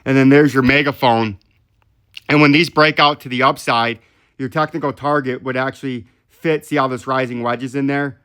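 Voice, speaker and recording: A man's voice: medium pitch, fairly even intonation, normal speed, fairly bright tone, clean tone. A man hosting a market analysis channel, talking through charts step by step and often checking in with the listener. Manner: explanatory, often checks in with "right?". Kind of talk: live technical chart commentary.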